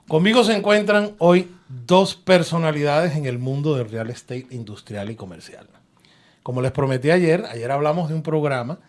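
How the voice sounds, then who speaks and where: Jovial male radio host in a booth. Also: a little high. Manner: jovial, joking with guests. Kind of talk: live radio talk show.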